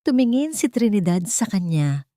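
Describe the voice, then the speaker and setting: A woman's voice: mid-range voice, sing-song, normal speed, mellow, no room sound, studio quality. A woman with a Filipino accent narrating a scripted dramatic story as an audiobook storyteller.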